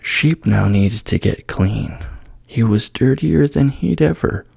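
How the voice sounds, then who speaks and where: A man gently reading a bedtime story in a soft-spoken ASMR style, often slipping into a whisper. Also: mid-range voice, lively intonation, slow pace, gravelly, breathy edge, dry recording, studio quality.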